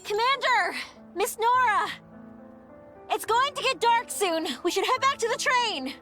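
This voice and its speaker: An actress voicing an earnest, insistent young train crew member in an anime-style game, pleading and emphatic. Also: female voice, very high-pitched, expressive, measured pace, music in the background. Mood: urgent pleading.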